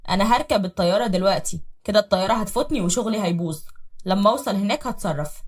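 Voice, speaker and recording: A woman's voice: medium pitch, rapid-fire, recorded from a distance, natural voice. A woman with an Egyptian accent voicing an angry, jealous husband who hurls harsh accusations. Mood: cold, curt. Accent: Egyptian Arabic. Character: angry jealous husband, middle-aged man.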